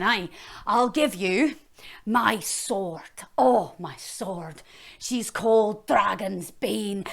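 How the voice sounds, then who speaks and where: A woman's voice: mid-range voice, natural voice. A woman voicing a forgetful old king in a told story. He rambles and boasts. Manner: rambling, boastful then sheepish. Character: bumbling forgetful old king, a doting father.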